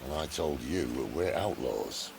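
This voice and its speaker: A roguish outlaw assassin in a game cutscene, needling his companion with dry humor. The voice is very low and gravelly, and its pitch rises and falls in lively swings. Game music plays clearly underneath. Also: a little slow, noisy background, full character voice. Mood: dry teasing. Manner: dry teasing banter. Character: wry roguish male outlaw assassin, middle-aged.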